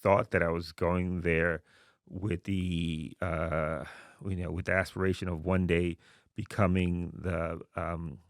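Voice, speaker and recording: A middle-aged man from Queens, New York, born in Haiti, answering interview questions in a relaxed, reflective way with many fillers. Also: low voice, takes it slowly, dry recording, clean studio sound. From born in Haiti, raised in Queens, New York. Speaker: podcast guest, university dean.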